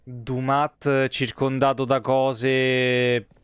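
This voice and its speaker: A man's voice: mid-range voice, level tone, very slow, smooth, no room sound. A man, muttering casual, foul-mouthed commentary as he streams a game. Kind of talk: casual live gameplay commentary. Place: small indoor room, streaming setup.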